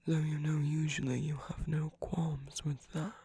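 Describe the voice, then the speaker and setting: A performer voicing a commanding yet tender, possessive villain in an ASMR roleplay, speaking deliberately in a husky voice that often drops to a whisper. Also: mid-range voice, flat delivery, mellow. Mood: stern, coaxing. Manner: slow, deliberate, coaxing, with long pauses. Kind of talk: ASMR roleplay, scripted intimate monologue.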